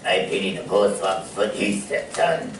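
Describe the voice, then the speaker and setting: A man playing a gruff, good-natured cartoon sailor who mutters and stammers in salty talk, in an old-time radio comedy style. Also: deep voice, expressive, unhurried. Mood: hearty, amazed. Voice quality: gravelly. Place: playhouse stage before a live audience. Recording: echoey hall from far off, faint noise.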